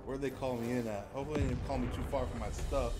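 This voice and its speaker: A man's voice: mid-range voice, steady tone, very fast. Laid-back chatty male streamer in his thirties. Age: 30s. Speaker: game streamer. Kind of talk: casual gaming livestream chat. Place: home room, indoors. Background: game music and gunfire.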